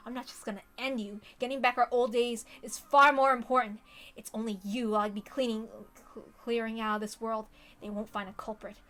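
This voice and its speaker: A woman's voice: fairly high pitch, little pitch movement, a little fast, a bit bright, natural voice. A woman voicing a teenage boy consumed by murderous rage in an intense, dramatic visual novel monologue. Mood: cold menace.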